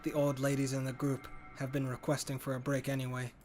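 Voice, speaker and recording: A man narrating a horror visual novel in first person, with an earnest, muttering inner-monologue delivery. He trips over a word now and then and corrects himself. Soft music plays faintly under his voice. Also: medium pitch, monotone, brisk.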